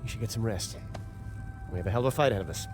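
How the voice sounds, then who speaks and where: An actor playing a seasoned, easygoing crew leader in a video game cutscene. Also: male voice, on the low side, very fast, close to an everyday voice. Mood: steady, wry. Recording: music under the voice.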